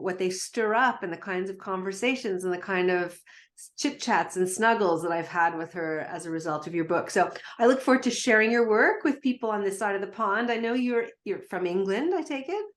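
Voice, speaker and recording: A woman from Canada hosts an interview podcast in a fairly low voice. She is eager and effusive, with gushing warmth toward her guest. She keeps a chatty, conversational interview tone. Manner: enthusiastic, effusive. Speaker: podcast host. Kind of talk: interview chat.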